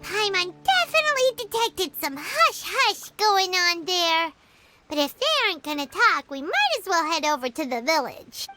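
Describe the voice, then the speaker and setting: Squeaky little fairy girl. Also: very animated, normal speed, over loud music. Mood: suspicious. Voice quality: squeaky, childlike. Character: small cheerful childlike female fairy companion, chatty. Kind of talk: video game character acting.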